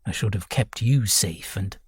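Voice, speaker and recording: Man acting a querulous, weary old gentleman. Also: on the low side, measured pace, breathy edge, dry recording, studio quality, pitched below the performer's own voice. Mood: heavy, regretful. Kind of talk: audiobook character voice.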